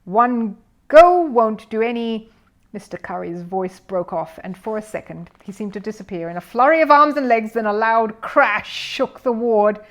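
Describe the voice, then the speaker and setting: A woman's voice: mid-range voice, moderate pace, few pauses, fairly bright tone, dull audio. A woman narrating a children's storybook as a scripted reading, in the role of storyteller. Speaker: storyteller, audiobook reader.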